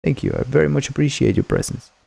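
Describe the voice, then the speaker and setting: A man's voice: medium pitch, wildly swooping pitch, fast talker, vocal fry, warm tone, breathy edge, no echo. A man whose first language is Spanish, hosting a game development livestream in a rambling, jokey way and thanking viewers often. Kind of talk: casual livestream chat.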